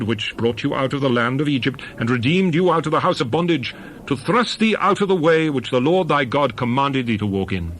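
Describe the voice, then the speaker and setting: A man's voice: mid-range voice, a bit bright, slight background noise. A man giving a dramatized scripted reading of scripture as narrator, in a solemn, declamatory and emphatic manner.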